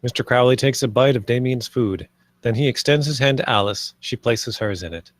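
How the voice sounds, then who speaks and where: A man's voice: medium pitch, fairly quick, no echo. Male script narrator, dry close sound. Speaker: stage directions narrator. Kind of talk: scripted narration at a film table read.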